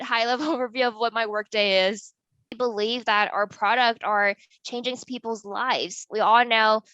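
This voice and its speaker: A woman's voice: medium pitch, fairly bright tone, no room sound, dull audio. A woman in her twenties from Taiwan, explaining things casually as an interviewee. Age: 20s. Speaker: tech company employee being interviewed. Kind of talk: casual explanatory talk about work.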